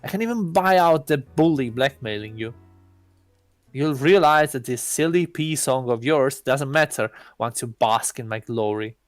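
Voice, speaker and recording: A man's voice: mid-range voice, animated, normal speed, dry recording, faint music behind, lightly acted. A man voicing an arrogant god posing as an exchange student, pompous and disdainful, in a visual novel. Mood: insistent boasting. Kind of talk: visual novel read-aloud.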